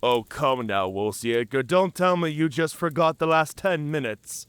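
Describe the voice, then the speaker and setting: A man's voice: on the high side, unhurried, a bit bright, smooth, no room sound. A man playing a wounded, wry, talkative werewolf in human form, theatrical and bantering, in an audio drama. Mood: amused, teasing. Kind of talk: cinematic audio roleplay acting.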